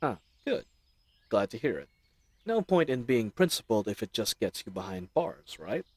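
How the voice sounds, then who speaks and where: A man's voice: lowish voice, sing-song, moderate pace, no room sound. A man playing a friendly, roguish bandit leader in a fantasy audio roleplay, talking in a casual, teasing, chatty way. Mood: teasing, easygoing. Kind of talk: fantasy audio roleplay acting, speaking intimately to listener.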